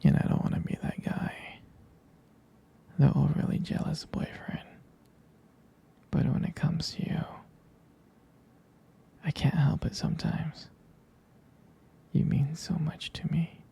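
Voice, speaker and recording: A man playing a jealous, devoted young boyfriend in an intimate ASMR roleplay, speaking in a hesitant, tender, breathy half-whisper. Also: on the high side, flat delivery, slow pace, pauses often, mellow, full character voice. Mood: jealous, earnest.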